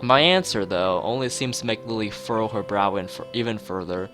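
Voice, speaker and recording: A man's voice: on the low side, fairly quick, a bit bright, soft background music. A man live streaming, mixing joking, mock-formal commentary with reading story text aloud from a game. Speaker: streamer playing a visual novel.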